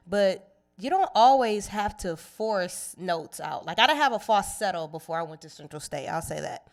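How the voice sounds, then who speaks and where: A young woman from Cleveland, Ohio, a singer guest chatting casually and playfully in an interview, laughing easily. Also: mid-range voice.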